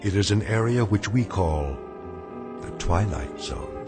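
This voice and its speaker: Prominent music sits behind a solemn male storyteller of eerie radio tales. His low voice moves expressively up and down, measured and portentous. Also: animated. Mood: solemn, ominous. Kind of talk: scripted radio drama narration. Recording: music bed behind narration.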